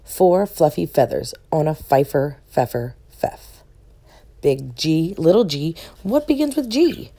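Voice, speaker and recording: A woman reads a children's picture book aloud, taking her time over each phrase. Her low-pitched, mellow voice swings up and down in a deliberate sing-song, as if for young listeners. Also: lively intonation. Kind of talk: read-aloud of a rhyming alphabet picture book.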